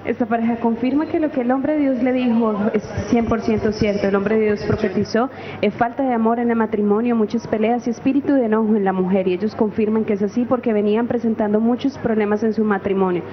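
A woman interprets the testimonies at a very fast, rapid pace. Her voice is fairly high. The audio is dull and muffled. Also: fairly even intonation.

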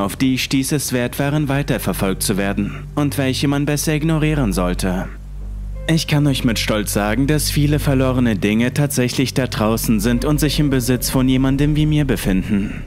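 Male horror narrator, measured scripted reading over quiet music. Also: mid-range voice. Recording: faint music underneath.

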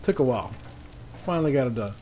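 Easygoing man over loud griddle sizzle. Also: mid-range voice, expressive, fast. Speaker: cooking show host. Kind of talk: casual cooking demonstration. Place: outdoor paved patio beside a griddle. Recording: clear sizzling griddle noise behind voice. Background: frying and sizzling food.

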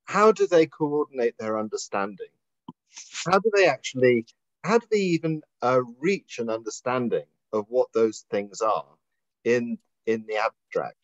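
A man's voice: mid-range voice, lively intonation, normal speed, no room sound. An older man moderating a video call discussion, posing thoughtful questions. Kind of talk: casual academic discussion. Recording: dry, dull video call audio.

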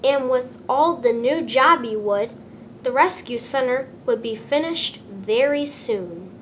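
A woman narrates a children's storybook in a high voice, taking her time over each phrase. Her delivery is expressive and deliberate. There is clear rumbling noise behind her. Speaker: storybook reader.

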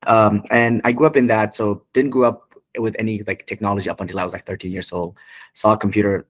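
A man's voice: on the low side, steady tone, quick pace, no room sound. A man in his twenties with a Nepali accent, answering interview questions in a casual, rambling, informal way on a video call. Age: 20s. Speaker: guest, tech company founder. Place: indoor room, on a video call. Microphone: video call microphone.

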